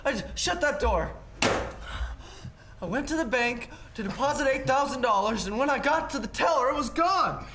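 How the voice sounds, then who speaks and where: A man acting an absent-minded, excitable older man who stammers, in a 1940s-style radio play. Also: very high-pitched, normal speed, heavily acted. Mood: flustered, panicked. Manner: stammering, exclamatory. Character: flustered, absent-minded older man, jovial then panicked.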